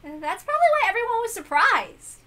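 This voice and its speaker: A woman's voice: very high-pitched, very animated, fairly quick, sharp tone, voice pushed up, heavily acted. A woman voicing a bubbly, kind teenage rich girl with a chatty, gushing manner in a story reading. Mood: flustered, apologetic.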